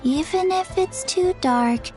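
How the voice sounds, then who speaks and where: Very slow, deadpan little child. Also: female voice, extremely high pitch, soft background music. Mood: gently reassuring. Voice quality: small, childlike. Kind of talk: video game character acting.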